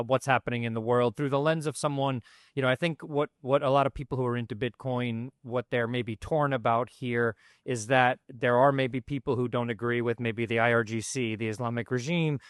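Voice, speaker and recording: A man hosting a podcast over a video call, speaking at a middle pitch in a dry, close sound. He talks in a measured, courteous way that sometimes halts and hesitates. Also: dry recording. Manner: measured, courteous, slightly halting. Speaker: podcast host. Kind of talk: interview introduction and questions.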